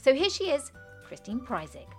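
A woman's voice: sits high, very animated, normal speed, loud music behind the voice. Gushing British woman hosting a podcast. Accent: British English. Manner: warm, effusive, enthusiastic. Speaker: podcast host. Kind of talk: podcast interview.

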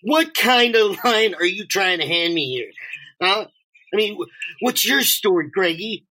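A man's voice: high voice, expressive, measured pace, bright, forceful tone, heavily acted, poor audio. A man playing a desperate, bitter homeless drug addict in an audio drama, agitated and stammering, swearing and sarcastic. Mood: bitter, mocking. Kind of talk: naturalistic two-person audio drama acting.

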